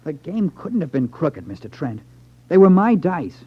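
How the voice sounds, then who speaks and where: An actor playing a nervous, sheepish young playboy in a 1950s radio crime drama, earnest and defensive in manner. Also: male voice, fairly high pitch, very animated, normal speed, breathy edge. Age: young adult. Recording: dull lo-fi 1950s radio recording.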